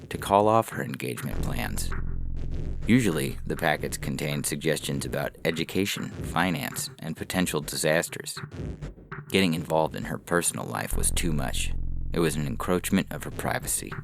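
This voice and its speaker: Extremely deep gravelly male narrator. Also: background noise. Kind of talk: scripted science fiction story narration.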